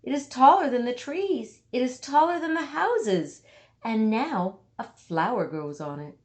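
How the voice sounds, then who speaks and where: A woman reading a children's picture book aloud, with dramatic emphasis and deliberate pauses for young listeners. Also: fairly high pitch, lively intonation, darkish tone. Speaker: storybook reader.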